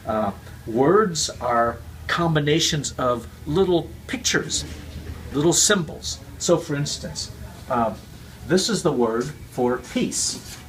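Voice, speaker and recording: A man's voice: fairly high pitch, expressive, takes it slowly, slight background noise, rough recording. A man with Cantonese roots giving an earnest, casual explanation as a guest speaker, often repeating words. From Chinese heritage, raised with Cantonese.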